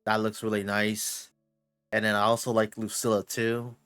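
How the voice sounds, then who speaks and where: A man's voice: lowish voice, flat delivery, moderate pace, a bit bright, clean tone, faint music behind. A man streaming a game, chatting casually in a rambling way with lots of fillers. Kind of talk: casual commentary over gameplay.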